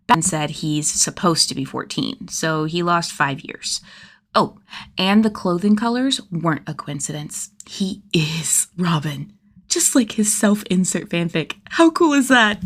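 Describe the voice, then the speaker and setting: A woman narrating fan fiction in an expressive, conversational way, with youthful enthusiasm in the reading. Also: fairly low pitch, unhurried. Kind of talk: animated scripted story narration.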